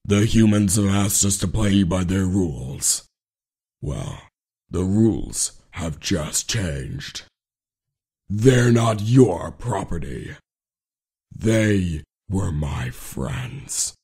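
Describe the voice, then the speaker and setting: Guy doing noble robot commander, deep slow and stern, heroic movie style. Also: big pitch swings, creaky, warm tone, husky, full character voice. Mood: grave resolve. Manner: grave, commanding. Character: noble, stern robot leader avenging friends. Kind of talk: fan voice reel, heroic film acting.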